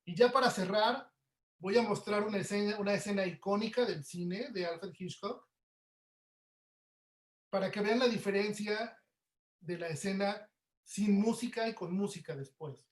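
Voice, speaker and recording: Male presenter, high voice, conversational and informal, explaining his trade in an echoey room. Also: moderate pace, long pauses. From Mexico. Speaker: audio producer giving a presentation. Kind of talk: informal explanatory webinar talk.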